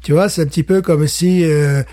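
A man, a teacher and guest on a podcast, chatting casually, animated, with many restarts and acted-out little scenes. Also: fairly high pitch, normal speed. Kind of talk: casual chat.

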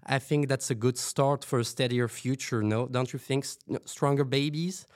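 A man plays a self-important oddball on a fiction radio panel. He holds forth with full confidence in a medium-pitched voice. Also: steady tone, normal speed, a little mellow, close to an everyday voice. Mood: smug, eager. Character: self-assured, oddball man with absurd theories. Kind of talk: fiction podcast panel interview acting.

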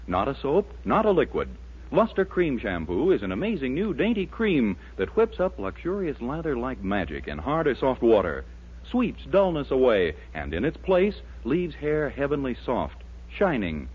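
A man's voice: medium pitch, breathy edge. An American man announcing a 1940s radio show in a polished, emphatic scripted sales style. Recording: dull 1940s radio recording, music behind.

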